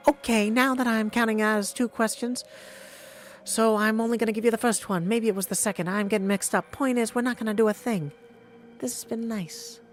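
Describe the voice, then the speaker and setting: Man putting on high-voiced, breezy goddess of fate. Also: fairly even intonation, fairly quick, a little hiss or hum, close to an everyday voice. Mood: breezy, offhand. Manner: offhand, chummy, calls listener sweetie. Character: breezy, folksy female Fates, casual elder goddesses. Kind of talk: video game character practice.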